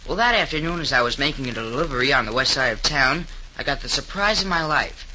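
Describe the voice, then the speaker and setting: An actor playing an earnest, eager young store helper in the manner of 1930s radio drama. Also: male voice, medium pitch, animated, quick pace, bright, forceful tone, low-quality recording. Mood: earnest narrating. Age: young adult. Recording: hissy 1930s radio recording.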